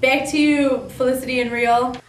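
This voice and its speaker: A woman's voice: high voice, fairly even intonation, moderate pace, natural voice. A woman playing an earnest 1920s news reporter, reading a script plainly with small slips. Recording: echoey room, distant mic, background noise.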